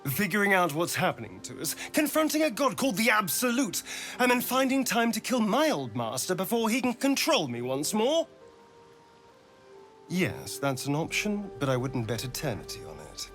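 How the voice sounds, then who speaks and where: A haunted, centuries-old vampire spawn from a fantasy game. He is sardonic and dramatic, swinging widely in pitch for emphasis, with music underneath. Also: sing-song, normal speed, fairly bright tone, heavily acted. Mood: grim, sardonic. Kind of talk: video game character acting.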